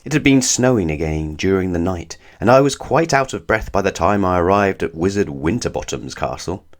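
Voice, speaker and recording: A man reads a children's storybook aloud. His voice sits on the low side and moves expressively up and down as he narrates. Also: expressive, moderate pace. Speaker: storybook reader.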